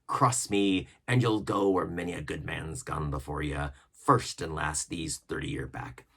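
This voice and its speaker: A man's voice: a bass voice, fairly even intonation, a little slow, fairly bright tone, slightly rough, heavily acted. A man voicing a fierce, swaggering old one-legged pirate cook who commands and threatens in a storybook reading. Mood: menacing. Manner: menacing, swaggering, uses old nautical slang. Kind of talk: storybook character voice.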